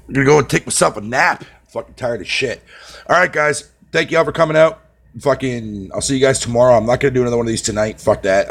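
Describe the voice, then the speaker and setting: A man with a Canadian accent chatting casually on a live stream, swearing a lot and laughing often. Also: lowish voice. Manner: profane, rambling, laughs often.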